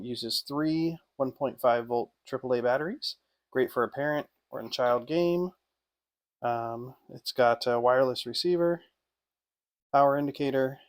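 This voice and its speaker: A man giving a casual hands-on unboxing review in an informal, off-the-cuff way. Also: mid-range voice. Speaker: product reviewer.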